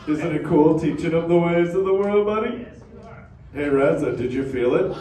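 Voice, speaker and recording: A man's voice: a little high, normal speed, dark tone, close to an everyday voice. An actor voicing a laid-back male sea turtle dad who talks in surfer slang, drawling "dude" and "bro" to a live crowd. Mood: easygoing, playful. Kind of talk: live interactive show with a crowd. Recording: roomy echo, distant mic, crowd noise.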